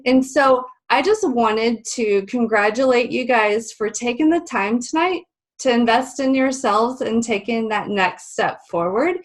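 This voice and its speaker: A woman hosting a webinar, a little stuffy and nasal, talking in a friendly, chatty way with many ums. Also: a little high. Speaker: webinar host. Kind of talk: live webinar presentation. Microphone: video call.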